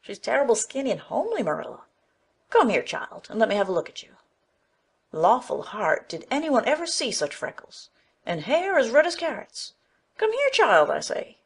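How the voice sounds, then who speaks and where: A woman's voice: on the high side, sing-song, moderate pace, creaky, slightly rough, exaggerated voice. Woman acting a blunt village busybody, swooping voice. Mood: blunt, critical. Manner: outspoken, emphatic. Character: blunt, gossipy, disapproving middle-aged village busybody woman. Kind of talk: dramatized audiobook acting.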